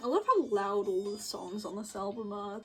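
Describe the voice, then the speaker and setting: A woman's voice: mid-range voice, lively intonation, normal speed. A woman chatting casually and rambling as she works, giggly and self-deprecating. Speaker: streamer making visual novel artwork. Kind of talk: casual chat while working. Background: music playing behind the voice.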